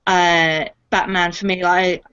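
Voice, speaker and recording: A woman's voice: lowish voice, level tone, takes it slowly, fairly bright tone, clean tone, lo-fi sound. A young woman chatting casually about her fandom as a cast member on a panel. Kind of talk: casual fan chat.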